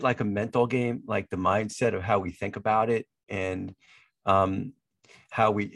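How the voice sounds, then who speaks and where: A man's voice: fairly low pitch, gravelly, fairly bright tone, no echo. A man hosting a podcast interview, often repeating words and restarting his phrases. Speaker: podcast host. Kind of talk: interview chat.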